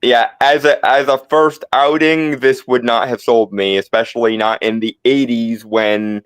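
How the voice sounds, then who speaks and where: A man's voice: mid-range voice, steady tone, measured pace, smooth, dry recording. A man nearly thirty in a casual comics chat, opinionated and emphatic about his pet peeves. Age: late 20s.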